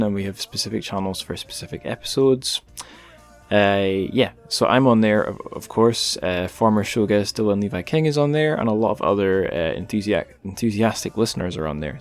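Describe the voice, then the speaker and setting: A man hosting a podcast, talking in a relaxed, rambling way with light jokes. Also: fairly low pitch. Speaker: podcast host. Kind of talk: casual podcast chat and plugs.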